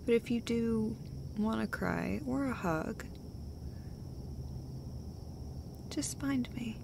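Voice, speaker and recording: A woman plays a gentle, caring girlfriend in an intimate audio roleplay. She speaks slowly and hesitantly, soft and breathy with gravelly fry, often dropping toward a whisper. There is clear background noise behind her. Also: on the high side. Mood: gentle, reassuring. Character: caring, gentle, casually sweary girlfriend comforting her partner.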